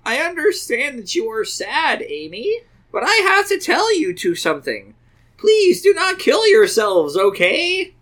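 A grieving cartoon mom, put on by a comic reader, pitched up from normal talk. The delivery is stilted and very sincere. It comes across as sad and gently preachy. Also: huge pitch swings, unhurried, exaggerated voice. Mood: sad, pleading.

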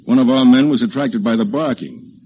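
A man playing a matter-of-fact police lieutenant in a 1940s radio drama, measured and businesslike, on a muffled, noisy recording. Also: medium pitch, natural voice.

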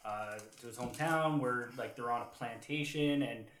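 A man's voice: mid-range voice, moderate pace, a bit bright, roomy sound, a little hiss or hum. A man co-hosting a casual history chat among friends, telling stories in a hesitant, rambling way. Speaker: podcast co-host.

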